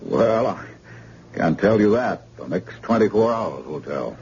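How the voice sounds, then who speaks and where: A brisk surgeon. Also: male voice, fairly low pitch, sing-song, darkish tone, breathy edge, exaggerated voice. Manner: brisk, matter-of-fact. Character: calm male surgeon, brisk and assured. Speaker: doctor. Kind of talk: old-time radio drama acting. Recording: hissy, muffled old radio recording.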